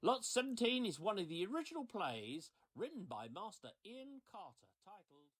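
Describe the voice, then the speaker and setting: High-voiced male auctioneer acted by a man, formal and theatrical, hugely swooping delivery for radio drama. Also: big pitch swings, moderate pace, fairly bright tone, exaggerated voice. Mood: brisk, formal. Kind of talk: amateur radio play acting.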